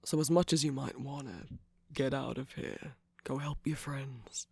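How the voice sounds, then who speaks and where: A man voicing a smug, teasing villain's henchman in a romantic ASMR roleplay, breathy and gravelly, drawling and dropping into whispers close to the mic. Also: mid-range voice, fairly even intonation, measured pace, dry recording. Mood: resigned, smug. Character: teasing, jaded, smug male villain's minion cookie. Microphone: close microphone, dry sound.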